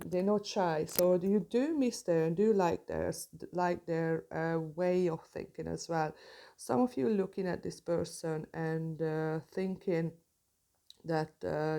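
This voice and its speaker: A woman's voice: fairly low pitch, creaky, dark tone. A young woman with a Hungarian accent giving a tarot reading to camera, hesitant, with many fillers and restarts. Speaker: tarot reader.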